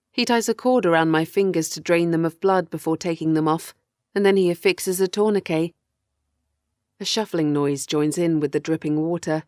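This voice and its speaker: A low-pitched woman reading as a captive woman of thirty recounts her ordeal in a horror audiobook. The delivery is measured and grim, weary and afraid. The sound is dry and close, with no room around it. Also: a little mellow, dry recording, natural voice. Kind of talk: first-person audiobook horror narration.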